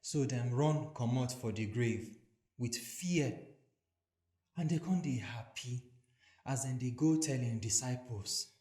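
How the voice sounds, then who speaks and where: Slow-paced Nigerian man narrating, echoey. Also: medium pitch, mellow. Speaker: scripture narrator. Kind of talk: dramatic scripted narration of scripture in Nigerian Pidgin. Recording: echoey voice recording with music. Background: soothing background music.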